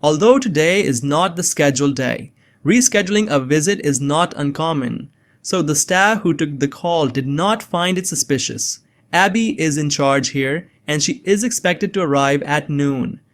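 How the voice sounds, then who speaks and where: A man playing a nerdy, anxious young man on the run, narrating a suspense story in a measured, earnest way. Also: fairly high pitch, lively intonation, a bit bright. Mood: tense, measured. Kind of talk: first-person scripted narration of suspense fiction. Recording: music behind the voice.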